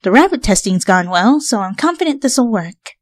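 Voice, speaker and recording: A woman's voice: mid-range voice, very animated, moderate pace, no room sound, clean studio sound, pitched above the performer's own voice. A woman voicing an earnest, bossy young female pony scholar in a story reading, articulate and scolding. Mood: brisk explaining.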